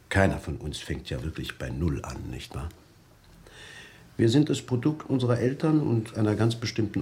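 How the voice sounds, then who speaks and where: A man's voice: deep voice, darkish tone. An actor playing an articulate, philosophical man being interviewed in a radio drama, explaining himself in a considered, emphatic way.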